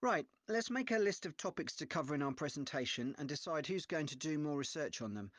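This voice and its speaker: A man playing an easygoing, agreeable young student in a measured, understated scripted dialogue. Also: fairly high pitch, animated, no echo. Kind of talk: scripted exam listening dialogue.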